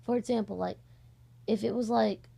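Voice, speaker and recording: A woman's voice: medium pitch, steady tone, moderate pace, vocal fry, dark tone, breathy edge. A young woman chatting informally in a scattered, rambling vlog style, easily sidetracked. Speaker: amateur voice actor and video host. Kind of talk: casual rambling vlog chat. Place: on a bed at home, indoors.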